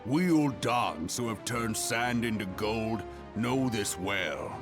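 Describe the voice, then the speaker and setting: Battle-hardened game general: lowish, unhurried, proud and resolute. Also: male voice, music in the background. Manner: grave, resolute, measured. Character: proud, battle-hardened male warrior leader. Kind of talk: fantasy video game acting.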